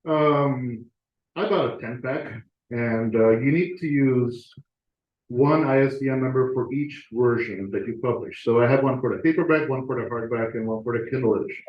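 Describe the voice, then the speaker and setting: A man's voice: medium pitch, moderate pace. A man giving a casual talk to his club over slides, conversational, with fillers and restarts. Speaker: club presenter. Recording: roomy, dull lo-fi sound.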